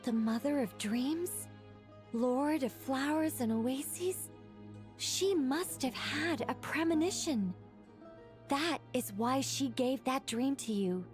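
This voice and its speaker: An actress voicing a serene, learned angelic woman in a video game, self-assured and gently theatrical. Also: sits high, lively intonation, slow pace, faint music behind. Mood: calm, solemn.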